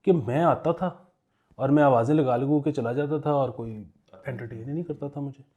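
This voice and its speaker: A man's voice: medium pitch, moderate pace, dark tone, lo-fi sound. A man with a Pakistani accent chatting casually as a podcast co-host, telling anecdotes in a joking way and chuckling as he talks. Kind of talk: casual humorous current affairs chat.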